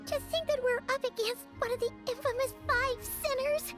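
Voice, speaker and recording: Squeaky, very high-pitched excitable little fairy girl. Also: normal speed. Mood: excited, alarmed. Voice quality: squeaky, childlike. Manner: chatty, exclamatory, questioning. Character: tiny excitable fairy-like girl companion, curious and blunt. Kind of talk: English video game dialogue acting. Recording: music playing behind the voice. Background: background music.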